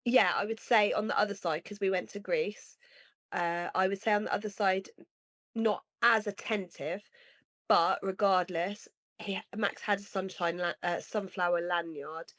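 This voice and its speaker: A woman in her thirties with a cold, sounding slightly nasal and husky, chatting earnestly as a podcast co-host. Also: mid-range voice, fairly even intonation, unhurried, fairly bright tone, no echo. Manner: earnest, rambling with restarts. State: has a cold, sniffly. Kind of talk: casual conversation. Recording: dry, slightly dull lo-fi sound.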